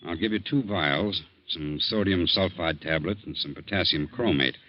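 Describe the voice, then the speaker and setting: An actor playing a calm, authoritative doctor in a 1940s radio drama, measured and commanding, on a dull old recording. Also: male voice, sits low, lightly acted. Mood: matter-of-fact. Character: calm, authoritative male ship-party doctor, grave and steady.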